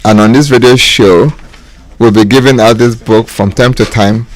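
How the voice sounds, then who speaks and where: A man's voice: mid-range voice, fairly even intonation, normal speed. A male author talking about his book on the radio, hesitant with fillers. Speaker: author and guest. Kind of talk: casual radio talk.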